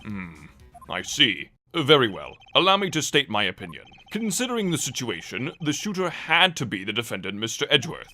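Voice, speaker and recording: Man as animated, solemn courtroom judge. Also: medium pitch, soft background music, exaggerated voice. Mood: authoritative. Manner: formal, deliberate, authoritative. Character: stately male courtroom judge, solemn but easily puzzled. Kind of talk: theatrical video game fandub courtroom acting.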